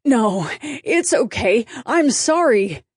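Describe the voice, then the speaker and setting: A woman's voice: medium pitch, unhurried, a little mellow, dry recording, studio quality. A woman voicing a goofy, friendly male superfan in a storybook, folksy, eager and stammering. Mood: nervous, apologetic.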